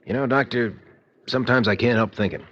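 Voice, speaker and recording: An actor playing a deferential, colloquial hospital attendant in an old radio drama. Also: male voice, medium pitch, a little fast, a bit bright, natural voice. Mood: musing, doubtful. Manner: colloquial, musing. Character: obedient, doubtful male hospital attendant. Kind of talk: 1940s radio drama acting. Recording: muffled old-time radio recording.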